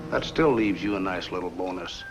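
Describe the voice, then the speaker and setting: An actor playing a cunning, guarded circus owner and killer in a 1950s film noir. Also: male voice, sits low, a little fast, music in the background. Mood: coolly threatening. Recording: noisy old film soundtrack.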